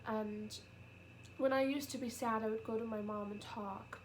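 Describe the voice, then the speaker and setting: A young woman with a cold, sniffly and nasal, talking softly and haltingly in a personal vlog, close to tears. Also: fairly high pitch, fairly even intonation, a little hiss or hum, poor audio. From United Kingdom. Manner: halting and soft-spoken, with many pauses and fillers. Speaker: vlogger. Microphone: camera.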